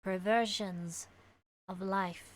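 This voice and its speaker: Woman with mid-pitched voice playing tabletop game. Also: fairly even intonation, takes it slowly. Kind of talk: casual table talk.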